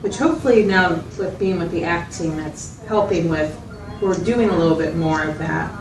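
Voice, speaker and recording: A woman acting as a supportive female clinician speaks in a fairly low voice. She explains things conversationally and reflects back what she hears. The sound is distant and echoey, with clear noise behind her. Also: moderate pace, a crowd in the background, natural voice. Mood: supportive, explanatory. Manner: reflective, explanatory, checking in with the listener. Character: attentive, supportive female mental health clinician. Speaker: mental health clinician facilitating a directive. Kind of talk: role-played clinical interview, conversational.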